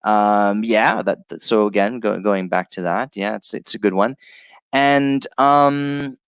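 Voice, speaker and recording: A man teaching English on a live stream, reading out viewer comments and chatting about them in a relaxed way, with lots of fillers and restarts. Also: fairly low pitch, slow pace, clear, resonant tone, dry recording. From Brazil and California, United States.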